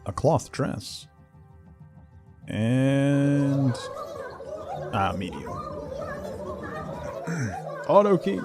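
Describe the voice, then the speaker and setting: Male livestreamer, mid-pitched, easygoing and chatty gaming commentary with soft music underneath. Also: huge pitch swings, very slow, pauses often, crowd noise. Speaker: game livestreamer.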